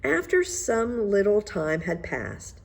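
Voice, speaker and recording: A woman reading a children's story aloud in an expressive, measured narrating style. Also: mid-range voice, gravelly. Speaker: storybook reader.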